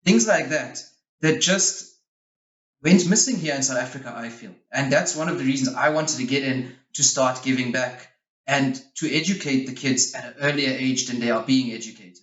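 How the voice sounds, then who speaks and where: A man's voice: medium pitch, moderate pace, a bit bright. Man in an echoey, muffled recording. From Switzerland. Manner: frank, opinionated. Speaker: interview guest, youth football coach. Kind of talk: conversational interview answers, explaining.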